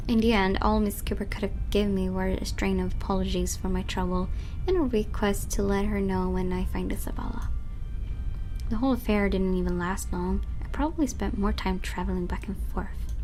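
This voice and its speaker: A woman with a medium-pitched voice narrates a visual novel, reading the text aloud. Music plays clearly behind her. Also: a little mellow. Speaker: gaming channel host reading aloud.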